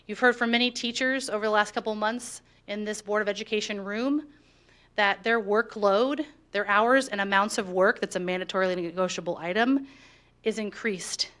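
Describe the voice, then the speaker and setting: A woman presents a prepared case at a school board meeting, speaking for the teachers' union. Her delivery is steady and measured, with a firm, even push behind each point. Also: mid-range voice. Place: board of education meeting room.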